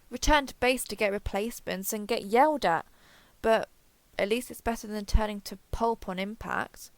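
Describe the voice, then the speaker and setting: A woman's voice: mid-range voice, creaky, no echo. A woman playing a wry alien explorer captain in an audio drama, dictating a log with casual, sardonic asides. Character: wry, weary alien spaceship captain, explorer, awed by discovery. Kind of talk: acted internal monologue as captain's log.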